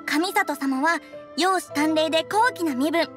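A woman's voice: very high voice, a little slow. A woman playing a friendly restaurant owner in an anime game, speaking in a familiar, confiding way. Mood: chatty, confiding. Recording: music playing behind the voice.

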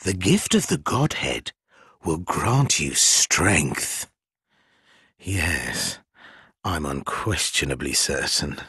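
A sly, fawning spider creature from a dark fantasy game, drawling slowly in a raspy voice. The pitch swoops extremely, and the archaic phrasing is theatrical and mocking. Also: male voice, very animated, no room sound, clean studio sound, heavily acted. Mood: sly, conspiratorial. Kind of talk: dark fantasy video game character acting.

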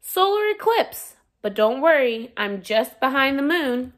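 Woman reading as a boastful, high-pitched storybook Sun. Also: sing-song, measured pace, fairly bright tone, full character voice. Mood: cheeky, proud. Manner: bragging, showy. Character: boastful, playful, self-important talking Sun from a picture book. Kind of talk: children's storybook read-aloud.

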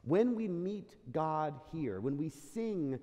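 An American man in middle age delivers a sermon from the pulpit. His voice is mellow and moves freely up and down in pitch. He speaks earnestly and deliberately, and the large sanctuary adds a long reverb. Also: big pitch swings. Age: middle-aged. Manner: earnest, deliberate rhetorical delivery with questions and pauses. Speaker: pastor, church rector. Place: large church sanctuary, indoors. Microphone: pulpit gooseneck microphone.

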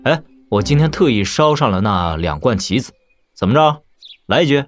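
An actor playing a shrewd young warlord prince with measured, casual authority in a wuxia audio drama. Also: male voice, mid-range voice, animated, soft background music, lightly acted. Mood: teasing.

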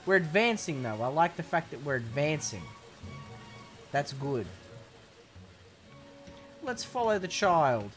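A young man talks over his gameplay, sarcastic and quick to swear. His pitch swoops up and down with each outburst. Music plays clearly in the background. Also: big pitch swings. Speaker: gaming commentator. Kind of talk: let's play commentary.

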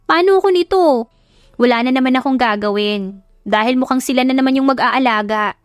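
Young wife for an audio drama, high and scolding, voiced by a woman narrator. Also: fairly quick, clean tone, dry recording, soft background music, lightly acted. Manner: loud, scolding, teasing. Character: young wife, new mother, teasing.

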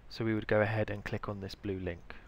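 A man's voice: lowish voice, level tone, quick pace, highs cut off, a little hiss or hum. A British man giving scripted instructional narration as the presenter of a training tutorial. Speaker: information librarian, tutorial presenter.

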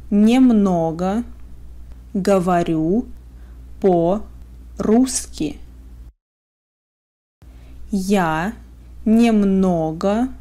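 Russian-accented woman tutor, mellow voice, very slow deliberate drilling. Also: mid-range voice. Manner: word-by-word, carefully spaced pronunciation. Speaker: language teacher. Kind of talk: slow phrase drills for learners.

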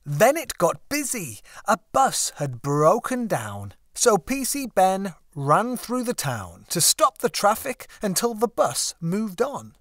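A male audiobook narrator tells a children's story with lively, swooping intonation. His pace is slow and deliberate, with expressive phrasing. The recording is close and dry. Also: sits high, dry recording.

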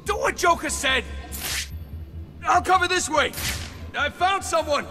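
An actor playing a panicky, aggressive henchman in a video game, shouting tensely. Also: very high-pitched, measured pace. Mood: alert. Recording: long hall reverb, music behind.

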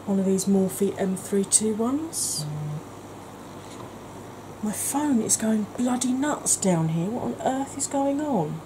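Woman, 40s, chatty makeup tutorial, close to camera, audible hiss. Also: mid-range voice. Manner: chatty, talks through each step, drops to soft near-whispers. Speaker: makeup vlogger. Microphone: camera close to the face.

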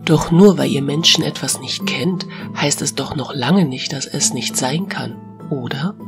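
A deep-voiced alpha female creature of a fantasy forest, played by a woman. She is noble and sure of herself, instructing calmly and with gentle warning. Music plays clearly underneath. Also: a little slow. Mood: calm, wise. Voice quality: deep, feminine. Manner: calm, wise, gently admonishing. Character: noble wise alpha female talking forest beast, self-assured. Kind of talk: German fantasy audio drama.

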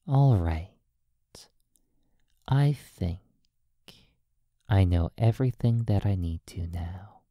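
A low-pitched, mellow voice plays a hypnotist in an ASMR sleep session. The delivery is extremely slow and hushed, with soothing, drawn-out phrases and long pauses. The sound is close and dry. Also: animated, no echo. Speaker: hypnotist playing a sleep therapist.

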